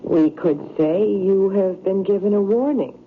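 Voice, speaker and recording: A grave, cryptic doctor in a vintage radio play. His voice sits a bit high for a man, and his delivery is formal, firm and portentous. The recording is tinny with faint noise. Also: unhurried. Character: grave, cryptic male doctor interpreting a patient's dream. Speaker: actor in a radio drama. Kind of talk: old-time radio drama acting.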